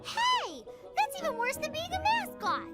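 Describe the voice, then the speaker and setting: A tiny, childlike fairy sidekick for a video game. The voice is squeaky and extremely high, swinging with lively excitement and cheeky indignation. Loud music plays behind it. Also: female voice, normal speed, exaggerated voice. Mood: indignant. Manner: exclamatory, refers to herself in the third person. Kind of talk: English video game voice acting.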